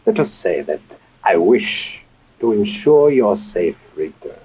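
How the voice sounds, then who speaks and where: On a dull vintage radio recording, a menacing crime lord. His low, husky voice is formal and oily-polite, with a threat under every courtesy. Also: big pitch swings, takes it slowly, exaggerated voice. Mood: veiled threat. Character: suave menacing wealthy Middle Eastern crime boss. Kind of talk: old-time radio drama acting.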